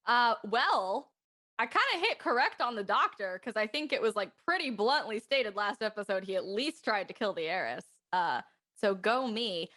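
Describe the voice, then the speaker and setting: A woman's voice: mid-range voice, lively intonation, moderate pace, sharp tone. A woman chatting casually as a panel guest, thinking theories through in slangy speech. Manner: slangy, speculative. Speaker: cast member on a panel. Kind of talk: casual chat.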